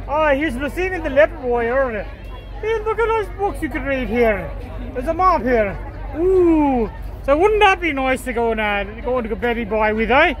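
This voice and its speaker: A woman's voice: high voice, a bit bright. A woman vlogger walking through an outdoor convention promenade, giving casual commentary in a put-on pirate manner with growled "arr" interjections. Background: faint crowd chatter.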